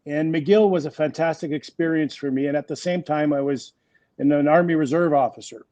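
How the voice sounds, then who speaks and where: French Canadian executive, 60s, mellow dry voice, lo-fi sound, halting with fillers. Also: male voice, a little high, no room sound. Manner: hesitant, many fillers and restarts. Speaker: guest executive. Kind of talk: interview conversation.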